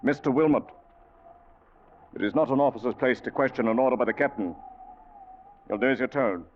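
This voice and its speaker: An actor playing a haunted, grieving but resolute sea captain in an old-time radio drama, grave and halting. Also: male voice, mid-range voice, a little hiss or hum, natural voice. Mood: stern command. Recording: muffled vintage radio recording.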